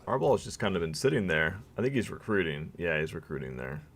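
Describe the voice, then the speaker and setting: A man's voice: deep voice, big pitch swings, fast talker. A man streaming a strategy game live, thinking aloud and reading game text to his viewers, his voice weak and worn out. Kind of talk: live gameplay commentary.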